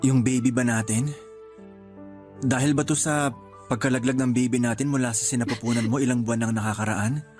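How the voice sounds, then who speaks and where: A man acting a patient, steadfast husband in a radio drama, earnest and gently reassuring. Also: medium pitch, fairly even intonation, fairly quick, pauses often, close to an everyday voice. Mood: consoling. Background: music.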